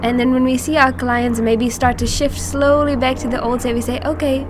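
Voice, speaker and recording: Higher-voiced woman co-host, noisy recording. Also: flat delivery, normal speed. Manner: affirming, many "you know" and "mm". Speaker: manifestation coach, co-host. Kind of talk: casual coaching chat.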